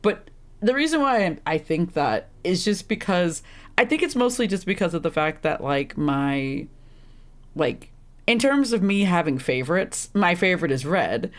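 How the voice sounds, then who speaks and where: A woman with a deep, rough, slightly hoarse and congested voice, rambling through casual gameplay commentary and laughing at herself often. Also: big pitch swings, normal speed. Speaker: streamer playing a visual novel.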